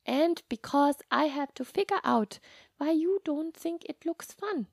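Woman as a patient, high-voiced kindly nun. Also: animated, gravelly, dry recording, clean studio sound, exaggerated voice. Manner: soft, measured, reassuring. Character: kind, patient, gently humorous nun comforting a child.

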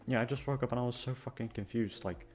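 A man's voice: medium pitch, fast, darkish tone, faint music behind. A young man chatting casually and aimlessly to his listeners, self-deprecating, hesitant and sweary. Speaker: audio creator and voice actor. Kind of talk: rambling casual chat to listeners.

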